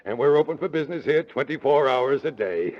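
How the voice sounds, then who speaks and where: An actor playing a jolly morgue keeper in an old radio play, chuckling and folksy with ghoulish good cheer. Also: male voice, mid-range voice, animated, a little fast, lightly acted. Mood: ghoulishly jolly. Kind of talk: 1940s radio drama acting. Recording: dull old radio recording.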